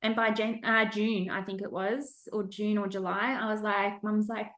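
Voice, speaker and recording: A woman's voice: medium pitch, flat delivery, normal speed, fairly bright tone. A young adult woman hosting a podcast in casual chat, easygoing and loose, laughing often. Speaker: podcast host.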